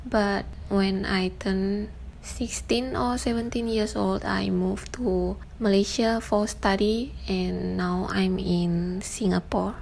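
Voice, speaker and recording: Slow, gravelly-voiced young woman. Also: medium pitch, steady tone, a little mellow, slight background noise, rough recording. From Indonesia. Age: 20s. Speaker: artist vlogger. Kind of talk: casual Q&A voice-over while painting. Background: faint background music.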